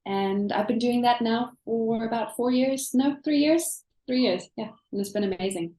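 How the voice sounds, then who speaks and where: A woman's voice: a little high, normal speed, reverberant. A woman from South Africa with a South African accent, an English teacher chatting as a guest in a casual interview. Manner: chatty, animated, frequent fillers.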